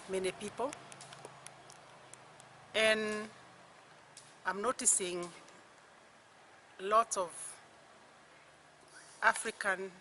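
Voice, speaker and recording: Slow, bright-voiced older Kenyan woman. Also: mid-range voice, slight background noise. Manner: slow, halting phrases with long pauses. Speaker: retired university lecturer. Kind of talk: personal reflective talk.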